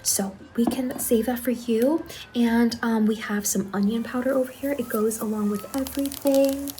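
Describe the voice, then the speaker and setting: A woman playing an eager, apologetic young saleswoman in an ASMR roleplay, speaking softly, politely and a little hesitantly. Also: on the high side, steady tone, moderate pace, slight background noise, lightly acted, rough recording. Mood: gently recommending. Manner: soft-spoken, hesitant, polite customer-service talk. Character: Angeline, eager apologetic young saleswoman at macabre pumpkin stall.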